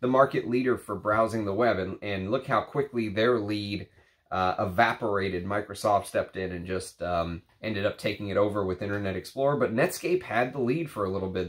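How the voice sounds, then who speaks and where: A man's voice: on the low side, a bit bright. A man in his thirties, congested from a cold and a little nasal, talking casually to camera in a rambling way with lots of fillers. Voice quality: slightly nasal. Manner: chatty, rambling, frequent fillers. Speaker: perfume reviewer. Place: home room with shelves of perfume bottles.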